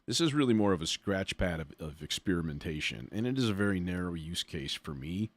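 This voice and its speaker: Low-pitched man thinking aloud on a livestream. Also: lively intonation, a little fast, no room sound. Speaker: livestream host, music producer demonstrating software.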